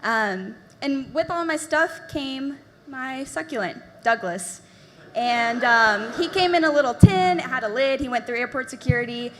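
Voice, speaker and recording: A woman's voice: high-pitched, lively intonation, measured pace, long reverb tail. A woman telling a casual personal story on stage, chatty with ums and light laughs. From Tennessee. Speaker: presenter at a live talk night.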